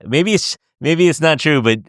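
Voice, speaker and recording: A man's voice: a little high, lively intonation, normal speed, fairly bright tone, no room sound, clean studio sound. A man hosting a podcast interview, chatty and giggly, asking long rambling questions. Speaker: podcast host. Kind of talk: casual interview.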